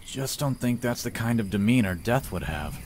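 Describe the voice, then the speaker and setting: A man's voice: mid-range voice, expressive, moderate pace, a little mellow, a little hiss or hum, lightly acted. Man as a dry, worldly village inventor. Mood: dry, unbothered.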